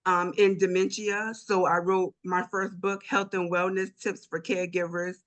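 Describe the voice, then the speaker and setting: A woman introducing herself as a guest author and educator, in deliberate, declarative statements. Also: lowish voice, little pitch movement, dull audio. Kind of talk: self-introduction on a panel.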